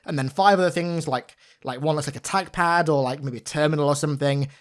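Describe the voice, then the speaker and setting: A man giving an informal, enthusiastic gameplay breakdown as a gaming commentator, talking like an excited fan. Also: a little high, fast talker.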